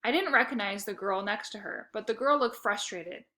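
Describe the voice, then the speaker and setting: A woman reading a children's chapter book aloud in a lively storytelling manner. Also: mid-range voice, normal speed. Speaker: reader.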